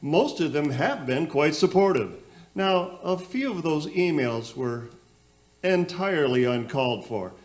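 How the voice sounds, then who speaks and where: A man playing a flustered radio show host in a comic radio play, folksy and hesitant as he glosses over trouble. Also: fairly high pitch.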